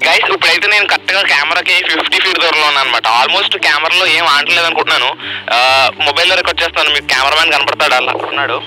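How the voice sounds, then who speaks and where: A man's voice: fairly high pitch, rapid-fire, poor audio. A man casually presenting a test outdoors, his voice coming through a walkie-talkie radio. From India. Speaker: video presenter. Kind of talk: casual range-test demo. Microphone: walkie-talkie picked up by camera. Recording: walkie-talkie transmission, loud music.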